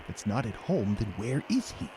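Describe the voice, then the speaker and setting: A man's voice: on the low side, very animated, a little fast, background noise. A man playing a kindly, flustered old Santa Claus in an audio drama, with a hesitant, stammering delivery. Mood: worried.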